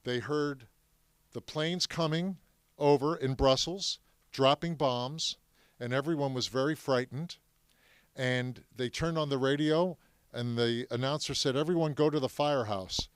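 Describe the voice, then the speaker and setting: A man whose first language is French, telling his family's Holocaust story to a live audience in a lively way, often asking the crowd questions. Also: medium pitch, a little slow, smooth, no echo.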